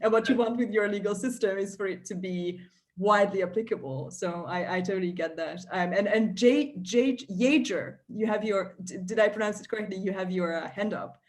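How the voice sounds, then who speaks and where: Woman hosting an online chat, friendly, thinks out loud. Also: mid-range voice, fairly quick. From Hungary. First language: Hungarian. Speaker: host, founder. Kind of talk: moderating an online salon.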